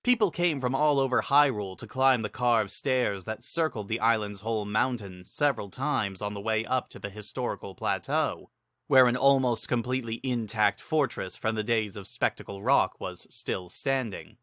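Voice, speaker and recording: Bright-voiced man narrating a fantasy story. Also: lowish voice, moderate pace, dry recording, studio quality. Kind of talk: dramatic reading of fantasy fiction.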